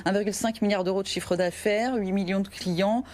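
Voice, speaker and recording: A woman hosting a televised business interview, asking brisk, lightly teasing questions. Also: mid-range voice, fairly even intonation, a little mellow. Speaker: TV business show host. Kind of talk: broadcast interview.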